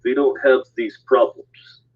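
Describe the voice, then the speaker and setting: A man co-hosting a casual live stream, joking deadpan and stammering through restarts. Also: mid-range voice, measured pace, slightly rough. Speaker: co-host. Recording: tinny phone-like line.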